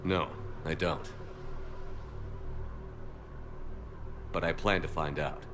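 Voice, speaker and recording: A man's voice: low voice, steady tone, normal speed, background noise, natural voice. A man acting a firm, resolute military commander with a terse, authoritative delivery in a video game. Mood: terse, determined.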